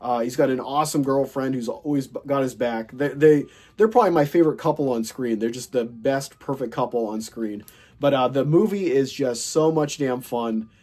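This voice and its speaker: A man's voice: mid-range voice, moderate pace, a little mellow. A man with a stuffy, nasal voice from a cold, hosting a casual movie collection chat, rambling and enthusiastic with lots of fillers and restarts. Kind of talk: casual chat showing a film haul.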